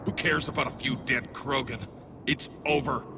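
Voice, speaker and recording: Gravelly defiant actor as an alien soldier. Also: male voice, medium pitch, fairly even intonation, normal speed, background noise, natural voice. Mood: angry, defiant. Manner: confrontational. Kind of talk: video game voice acting.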